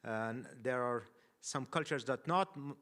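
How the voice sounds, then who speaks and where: A man's voice: mid-range voice, unhurried, a bit bright. A man from Afghanistan speaking as a panelist, thoughtful and hesitant, often restarting his sentences. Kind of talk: panel discussion.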